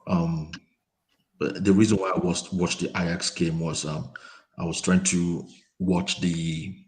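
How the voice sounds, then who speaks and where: A man giving his views in a casual football chat over a call. He hesitates often, repeating words and filling pauses with um. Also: low-pitched, little pitch movement, a little mellow, poor audio. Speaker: panel guest. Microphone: remote call mic, quiet.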